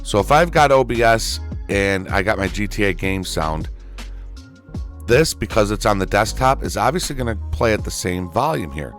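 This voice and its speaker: Male gaming tutorial host, low-pitched voice, folksy and casual, faint music underneath. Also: very animated, moderate pace, a bit bright. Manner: folksy, addresses viewers as y'all and folks. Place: indoors at a gaming computer, voice over screen recording.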